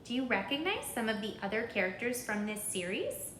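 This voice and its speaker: A woman reading a children's story aloud in an expressive, deliberate, rhythmic way, pausing to put questions to listeners. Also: a little high, reverberant, slight background noise. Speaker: storybook reader.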